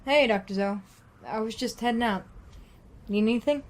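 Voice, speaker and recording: A woman's voice: fairly high pitch, normal speed, lightly acted. An actress playing a brusque but caring woman survivor in an audio drama, speaking informally. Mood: brusque, exasperated.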